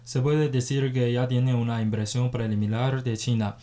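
A male radio host speaks in measured, formal sentences as he puts questions to his guests. His voice is mellow and warm, with a middling pitch. The sound is clean studio radio. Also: flat delivery. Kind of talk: radio interview hosting.

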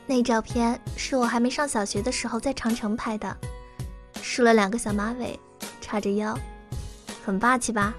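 A woman acting a lively young university student in a Chinese radio drama, with a youthful, chatty way of talking. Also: a little high, moderate pace, close to an everyday voice. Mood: cheerful, chatty. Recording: music behind the voice.

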